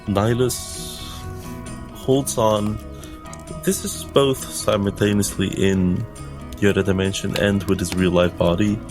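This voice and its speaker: A man's voice: lowish voice, steady tone, unhurried. A man playing a tabletop roleplaying game, narrating what his character does. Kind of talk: narrating own character's actions. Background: music.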